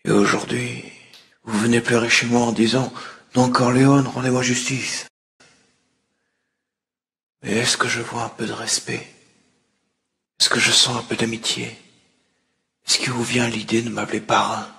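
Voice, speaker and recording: A man playing a proud, reproachful mafia godfather in a measured way, in a film fan dub. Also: medium pitch, level tone, gravelly, pushed and bright. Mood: reproachful, wounded pride.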